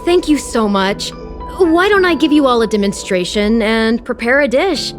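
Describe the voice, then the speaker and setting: A woman's voice: a little high, lively intonation, normal speed, close to an everyday voice. Effusive woman chef acted by a woman over music. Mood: grateful, proud. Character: gracious, hearty female restaurant chef, adventurous and effusive. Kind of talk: video game voice acting. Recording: studio voice with clear music behind. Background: game music.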